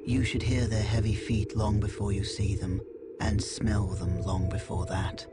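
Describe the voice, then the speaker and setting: An actor playing an aloof male scout who sounds flat and disdainful of humans. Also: on the low side, unhurried, loud music behind the voice, natural voice.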